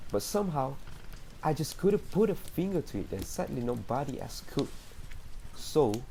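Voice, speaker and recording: A man's voice: medium pitch, mellow, slight background noise, low-quality recording. A man playing an earnest, troubled therapy patient giving a confessional monologue in an amateur radio drama.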